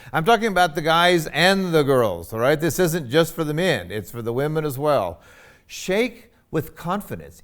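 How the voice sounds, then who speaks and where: A man giving a university lecture on etiquette, with a loud, emphatic voice and frequent fillers. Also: on the high side.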